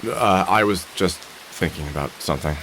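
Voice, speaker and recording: A man's voice: sits low, moderate pace, fairly bright tone, slight background noise, poor audio. A man playing a weary, skeptical middle-aged protagonist nursing heartbreak in a visual novel game, speaking in a hesitant, conversational way. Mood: subdued.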